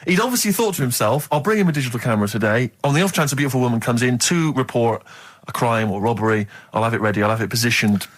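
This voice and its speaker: Fast, animated, husky English man. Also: mid-range voice. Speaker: radio show co-host. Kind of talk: casual comic banter.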